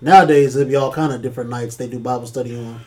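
A man's voice: mid-range voice, fairly even intonation, fast talker, a little mellow. A man co-hosting a casual church-talk podcast, speaking earnestly with a preacherly cadence.

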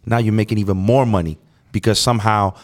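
Low-voiced laid-back man giving advice. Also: steady tone, fast talker. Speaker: podcast co-host. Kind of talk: casual podcast chat.

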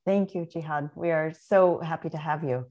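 A woman with a notably low voice hosts a webinar. She thanks and welcomes her guest with effusive warmth in her words. She speaks in a hosting tone. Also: fairly even intonation, moderate pace, highs cut off. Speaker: webinar host.

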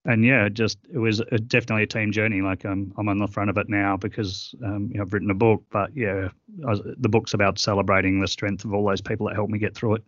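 A middle-aged man guesting on an interview, talking earnestly and haltingly with many fillers. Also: on the low side, flat delivery, fairly bright tone, dry recording, highs cut off. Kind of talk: reflective interview conversation.

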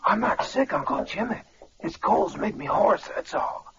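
A man's voice: high-pitched, very animated, normal speed. An actor playing a scheming young male impostor in an old-time radio adventure. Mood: feigned hoarse. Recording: noisy, muffled old radio recording.

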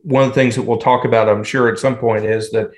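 A man in his fifties with an American accent talks as an interview guest. His pitch is average for a man. He is conversational and reflective, halting often between phrases. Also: steady tone. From southern Indiana, United States. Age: 50s. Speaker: podcast guest, training director and researcher.

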